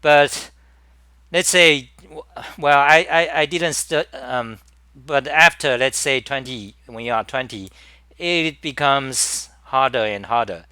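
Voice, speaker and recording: A man with a Chinese accent hosting a live-stream talk, speaking in halting bursts with many restarts and repeated words. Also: medium pitch, bright, forceful tone, clear, resonant tone, no echo. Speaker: host.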